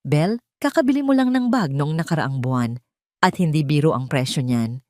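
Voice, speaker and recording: Woman voicing a weary, reproachful husband, low-pitched. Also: lively intonation, moderate pace, darkish tone, dry recording, clean studio sound. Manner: tired, reasoning. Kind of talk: audio drama storytelling.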